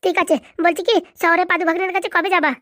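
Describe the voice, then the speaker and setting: A man's voice: very high voice, fairly even intonation, quick pace, bright, forceful tone, no room sound, clean studio sound. A man voicing a pert, argumentative youngster who runs errands, cheeky and insistent, in a comedy cartoon. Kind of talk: comedy cartoon dialect acting.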